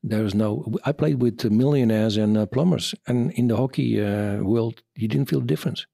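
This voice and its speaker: A Dutch man in his fifties, an army officer answering interview questions in English with a Dutch accent, pausing often to search for words. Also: medium pitch, vocal fry, warm tone, dry recording, clean studio sound. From Netherlands. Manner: halting, searching for words, many fillers.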